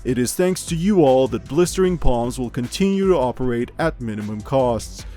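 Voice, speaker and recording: A man playing an unflappable local radio news anchor, reading parody bulletins in a measured, deadpan announcer delivery. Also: medium pitch, faint music behind, natural voice. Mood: composed, dry. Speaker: host. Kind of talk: scripted news broadcast parody.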